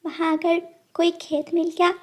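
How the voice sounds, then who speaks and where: Woman as a high-voiced sensible little girl bird. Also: flat delivery, normal speed. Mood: concerned, reassuring. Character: sensible caring young girl bird, elder daughter.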